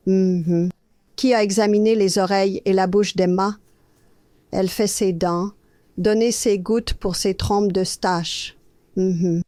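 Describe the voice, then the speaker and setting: A woman's voice: mid-range voice, a little mellow, no room sound. An older woman from Australia, a health educator, telling her personal story as an interview guest in an earnest, anecdotal way.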